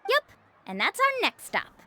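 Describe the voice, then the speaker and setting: A woman's voice: very high-pitched, huge pitch swings, unhurried, heavy background noise, full character voice. A young woman playing a breezy, confident female adventure guide in an anime game. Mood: playful. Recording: game music behind the voice.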